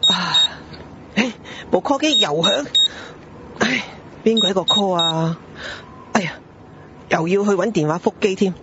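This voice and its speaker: A man's voice: sits high, unhurried, pauses often, very noisy. Man acting harried, sighing father, muffled lo-fi. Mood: weary, troubled. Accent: Hong Kong. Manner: sighing, exasperated. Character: harried, grumbling father with a pager and a headache. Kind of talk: Cantonese radio drama acting. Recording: dull lo-fi audio with background music.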